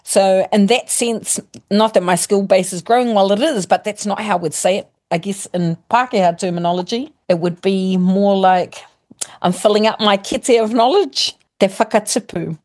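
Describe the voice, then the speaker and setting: A middle-aged woman with a New Zealand accent talks like a teacher, laying out examples one after another. She pauses to rephrase and restart, steady and friendly. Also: medium pitch. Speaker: guest, primary school teacher. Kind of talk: casual explanatory chat, mixing Māori and English.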